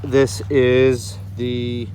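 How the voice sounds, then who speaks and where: A man's voice: mid-range voice, monotone, very slow, noisy background. Sniffly man touring his garden. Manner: rambling, with frequent fillers and restarts. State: sniffly from a cold. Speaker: gardening vlogger. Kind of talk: casual walk-through narration. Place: outdoor garden plot in the evening.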